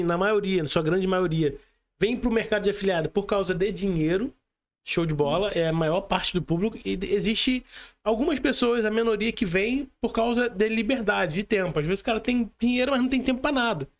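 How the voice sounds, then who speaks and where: A man's voice: sits high, fairly quick. A male podcast host with a Brazilian accent, talking casually in slang and repeating points for emphasis.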